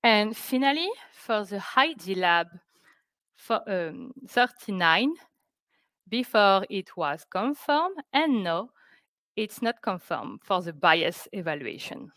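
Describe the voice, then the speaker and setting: A woman presenting scientific results on a webinar, speaking careful, halting English. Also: mid-range voice, sing-song, fairly bright tone, no echo. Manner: careful, halting non-native English delivery. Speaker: researcher presenting project results. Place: indoors on a video call.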